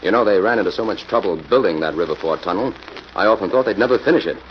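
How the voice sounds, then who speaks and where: An American man playing a confident, composed scientist crime-fighter in a 1940s radio drama, speaking crisply and with authority. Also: fairly low pitch, expressive, fast, natural voice. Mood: brisk, genial. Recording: muffled, noisy vintage radio recording.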